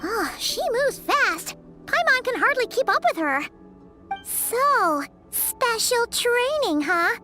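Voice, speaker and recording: A woman's voice: big pitch swings, takes it slowly, pushed and bright, faint music behind, full character voice. A woman voicing a tiny, chirpy female fairy companion in a video game who talks about herself in the third person. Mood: musing. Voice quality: squeaky, bouncy, refers to self in third person.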